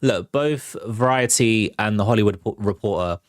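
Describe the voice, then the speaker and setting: A man's voice: on the low side, moderate pace, dry recording, studio quality. A man hosting a live entertainment news show, chatting casually and talking straight to his viewers. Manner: conversational, addresses viewers directly. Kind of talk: casual livestream commentary.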